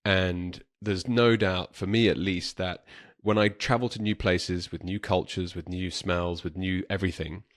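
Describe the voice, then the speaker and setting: A male podcast host with a southern English accent. His voice sits low, and he talks in a conversational, courteous and effusive way as he interviews his guest. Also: steady tone, measured pace, few pauses.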